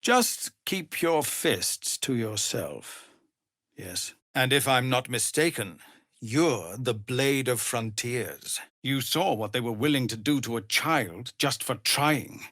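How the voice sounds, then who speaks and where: An actor playing a weary, dutiful leader of refugee outcasts in a video game, speaking formally and earnestly. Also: male voice, mid-range voice, a bit bright, dry recording, studio quality, full character voice. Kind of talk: dramatic video game voice acting.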